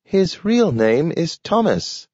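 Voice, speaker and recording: A cheerful young male dog speaks slowly and carefully, as a man reads him, each word shaped like a learner's, with a strange lilt. His voice sits far above a normal man's. The recording is dull and dry. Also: on the high side, very animated, darkish tone, no room sound, voice pushed up, exaggerated voice. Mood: eager. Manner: careful pronunciation like a learner, odd intonation. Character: friendly, eager young male talking dog.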